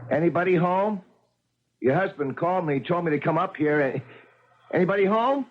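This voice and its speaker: Husky, stammering doorman. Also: high voice, expressive, moderate pace. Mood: anxious, flustered. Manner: stammering, rambling, repeats himself. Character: friendly, flustered apartment-building doorman, a talkative man. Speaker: actor in a radio drama. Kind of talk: 1970s radio drama acting. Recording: muffled 1970s radio broadcast recording.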